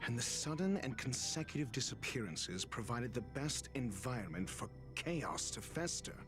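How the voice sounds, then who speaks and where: A composed detective from a video game, lays out his reasoning in an articulate, deliberate way. His voice sits at a middling male pitch. Music plays clearly behind him. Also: sing-song. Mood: grave, emphatic. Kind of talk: dramatic video game cutscene monologue. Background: background music.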